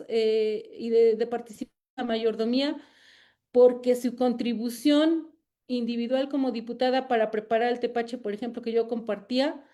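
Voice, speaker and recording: A woman giving a thoughtful academic talk as an invited researcher, often pausing and repeating words while she searches for them. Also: fairly high pitch, a little mellow.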